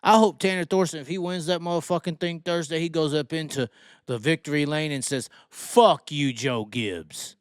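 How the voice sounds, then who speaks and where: A man's voice: a little high, fairly even intonation, a little fast, clean tone, dry recording, clean studio sound. A man giving opinionated racing commentary in a brash, emphatic, profanity-heavy way, repeating phrases to drive them home. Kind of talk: opinionated solo rant commentary.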